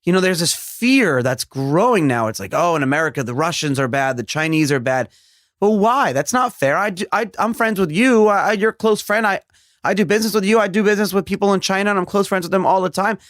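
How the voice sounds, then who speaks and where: Podcast host, young New man, emphatic and repetitive, raised pitch, dry. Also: expressive, a little fast, a bit bright, no echo. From New York. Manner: earnest, emphatic, rambling with repeated phrases. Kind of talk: casual interview chat.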